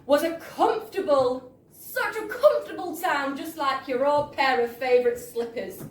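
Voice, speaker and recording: A woman's voice: high voice, expressive, moderate pace. Woman as a fond, opinionated uncle, echoey. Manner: emphatic, colloquial reminiscing. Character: Uncle Fred, fond, opinionated old-fashioned storytelling uncle. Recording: echoey live venue, distant mic, faint noise.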